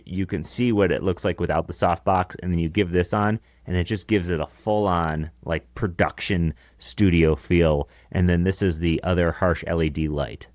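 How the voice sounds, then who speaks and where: A man in his thirties with a deep voice, co-hosting a podcast in casual chat from a small home studio on a close broadcast mic. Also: dry recording. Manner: chatty, explaining his gear with casual asides. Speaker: podcast co-host. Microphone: close dynamic broadcast mic.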